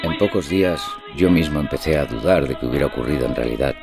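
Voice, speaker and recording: A man's voice: extremely low pitch, fast talker, vocal fry, loud music behind the voice. An actor playing an impressionable, nervous bank clerk who narrates his haunting in an audio drama. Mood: confiding. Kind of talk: dramatised first-person narration, audio fiction.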